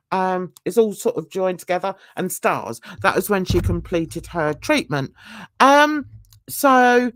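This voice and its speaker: A woman's voice: medium pitch, dry recording, clean studio sound. A woman, chatting casually with livestream viewers in a rambling, self-mocking way and laughing often. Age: 60s. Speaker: livestream host.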